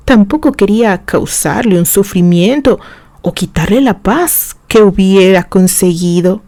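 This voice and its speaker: Slow, mellow woman narrating a story. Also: mid-range voice, no room sound. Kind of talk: scripted literary narration of a romantic story.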